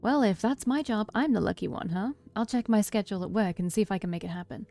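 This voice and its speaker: A woman's voice: medium pitch, lively intonation, fast, warm tone, faint music behind, lightly acted. A woman voicing a blunt, fond, protective older sibling in a story reading. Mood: teasing, fond.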